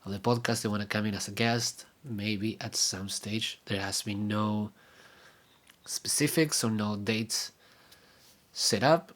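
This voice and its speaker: A young man from Argentina, a native Spanish speaker with an Argentine accent, giving a casual video update in a rambling, halting way with many fillers and restarts. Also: on the low side, a bit bright. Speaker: vlogger and podcaster.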